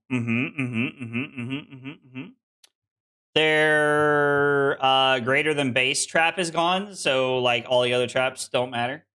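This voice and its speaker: A man's voice: fairly high pitch, pushed and bright. A man in his late twenties, a card game streamer, chatting casually with viewers while he plays and rambling with lots of restarts. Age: 20s. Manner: rambling and self-deprecating, frequent restarts.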